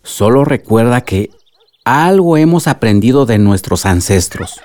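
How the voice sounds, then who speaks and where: Man acting elderly grandfather, Mexican accent, wise and loving, measured advice, radio drama, close dry recording. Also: mid-range voice, animated, a little mellow, no echo, close to an everyday voice. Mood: grave, advising. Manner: measured, reflective, advising.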